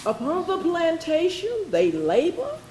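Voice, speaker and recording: A woman's voice: extremely high pitch, wildly swooping pitch, unhurried, a little mellow, full character voice. A woman playing an impassioned orator in a readers theatre, reciting anti-slavery verse in a declamatory, pleading manner. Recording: roomy echoing stage, faint noise.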